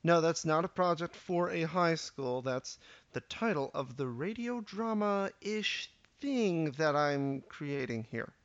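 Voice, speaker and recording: A man's voice: fairly high pitch, animated, slow, no room sound, highs cut off. A man in his thirties hosting a casual solo podcast intro, rambling and self-deprecating with lots of hesitation. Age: 30s. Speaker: podcast host.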